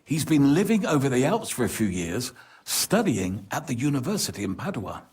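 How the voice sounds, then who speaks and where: Worldly medieval nobleman for a game; rough husky voice, animated intonation, formal and worried. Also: mid-range voice, normal speed. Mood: earnest. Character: worldly, anxious medieval lord seeking a favour. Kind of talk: video game cutscene acting.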